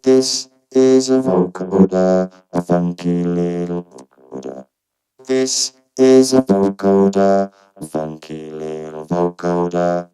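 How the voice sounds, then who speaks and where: A flat synthetic robot speaks very slowly through a vocoder. Musical synth tones carry every word in short demonstration phrases. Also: male voice, low voice, over loud music, voice pushed down, low-quality recording. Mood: robotic, flat. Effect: vocoder robot filter over synth notes. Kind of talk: slow demonstration phrases on keyboard notes.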